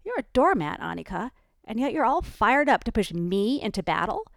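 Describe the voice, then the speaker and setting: A woman's voice: mid-range voice, big pitch swings, unhurried, creaky, no echo, clean studio sound, pitched above the performer's own voice. A woman voicing Ellie, a defensive, fiery young mother, in an audiobook reading. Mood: heated. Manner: heated, pleading then hushed and contrite.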